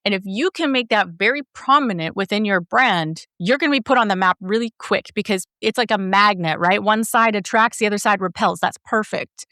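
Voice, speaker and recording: A woman's voice: medium pitch, a little fast, few pauses, bright, forceful tone, no echo, clean studio sound. A middle-aged woman coaching a guest, talkative and encouraging, explaining with examples. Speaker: business coach and host. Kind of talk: coaching conversation.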